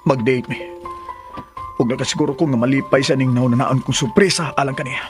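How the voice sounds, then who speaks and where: A man's voice: mid-range voice, brisk, music in the background, close to an everyday voice. A man playing a troubled, brooding lover in a radio drama. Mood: brooding, resentful. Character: troubled man torn between bride and former lover.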